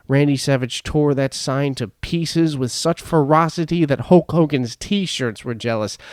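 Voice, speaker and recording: A man reading a scripted story as a podcast host, in a dramatic, deliberate and emphatic way. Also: mid-range voice, no room sound, clean studio sound. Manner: theatrical, deliberate, emphatic phrasing. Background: prominent background music.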